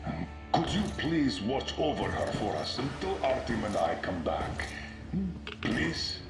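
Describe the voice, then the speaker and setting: Gravelly, animated military colonel. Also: male voice, medium pitch, measured pace. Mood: subdued, earnest. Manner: commanding, clipped. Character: gruff, resolute male colonel leading a squad of rangers. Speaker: military commander. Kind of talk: dramatic video game acting. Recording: music behind the voice.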